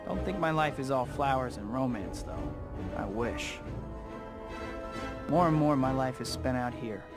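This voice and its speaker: An actor playing an earnest, wry young superhero student with an American accent in a video game. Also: male voice, medium pitch, lively intonation, unhurried, lightly acted. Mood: wry, reflective. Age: young adult. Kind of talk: cinematic voice-over narration and dialogue. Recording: loud music underneath.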